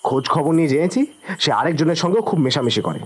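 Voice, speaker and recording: Man acting a jittery, animated suspect in a drama. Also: fairly high pitch, quick pace, breathy edge. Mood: wary, resigned. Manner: stammering, agitated, protesting. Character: Hossain, nervous, evasive young man under police suspicion.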